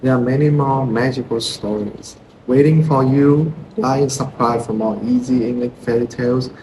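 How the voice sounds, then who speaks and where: A man's voice: mid-range voice, steady tone, moderate pace, music in the background. A man narrating a scripted outro for a storytelling channel, with noisy ambience behind him.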